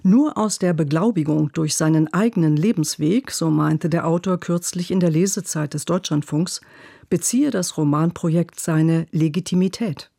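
Woman critic reading a radio review, calm and literary, dry mic. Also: sits low, moderate pace, gravelly, dry recording. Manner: composed, literary narration. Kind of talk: scripted radio book review.

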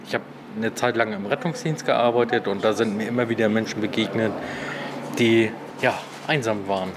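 Low-voiced man in his forties, noisy background. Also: normal speed. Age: 40s. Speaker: social worker and café organiser. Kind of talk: informal interview answers. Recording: clear ambient background noise.

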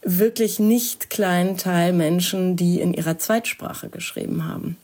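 A woman answers interview questions in a thoughtful, explanatory way. Her voice is lowish and mellow. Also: a little slow. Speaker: literary expert.

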